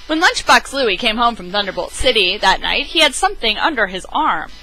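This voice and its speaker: A woman's voice: on the high side, sing-song, brisk, bright, forceful tone, low-quality recording. A woman giving a theatrical, emphatic read-aloud of a children's picture book, as an amateur storyteller on a webcam microphone.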